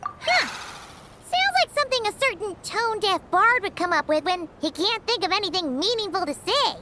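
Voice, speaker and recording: An actress voicing a tiny, childlike fairy companion in a video game, cutesy, cheeky and inquisitive. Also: female voice, very animated, normal speed, very noisy. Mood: cheeky doubt. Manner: squeaky, cutesy. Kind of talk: cartoonish video game dialogue. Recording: background music under voice.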